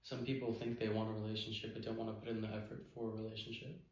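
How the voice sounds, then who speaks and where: A man chatting casually with his partner as co-host of a couple's channel, in halting phrases full of fillers. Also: fairly low pitch, little pitch movement. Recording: very echoey room.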